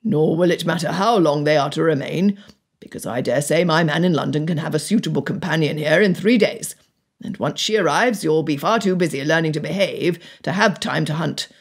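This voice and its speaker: A woman voicing a stern, exasperated gentleman father in an audiobook, with a gruff, commanding and formal manner. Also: low-pitched, brisk. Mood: angry, unyielding. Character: stern, exasperated titled father of a wilful daughter. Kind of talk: audiobook character dialogue.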